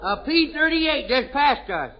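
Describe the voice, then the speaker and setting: A man's voice: very high-pitched, very animated, unhurried, full character voice. A man playing a mischievous little boy in 1940s radio comedy, talking in childish, ungrammatical baby talk. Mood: silly. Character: mischievous little boy Junior, bratty and cheeky. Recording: noisy lo-fi vintage radio broadcast.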